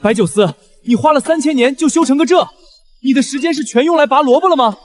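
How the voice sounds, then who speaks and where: A man playing a brash, teasing young general in an animated period drama, mocking and theatrical in delivery. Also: extremely high pitch, fast. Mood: cocky teasing. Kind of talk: Chinese animated web drama voice acting.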